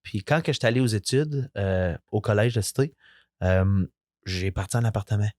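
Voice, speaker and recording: Man, young adult, Franco-Ontarian French accent, relaxed, rambling, dry sound. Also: fairly low pitch, moderate pace, dry recording. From Eastern Ontario, Canada. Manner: relaxed, rambling, lots of fillers. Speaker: musician guest. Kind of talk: casual interview chat.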